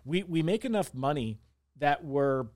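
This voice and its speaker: A man's voice: a little high, lively intonation, a little slow, a little mellow. A man in his forties speaking candidly as an interview guest, talkative and self-reflective, often repeating words as he thinks. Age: 40s. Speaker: interview guest, small business owner.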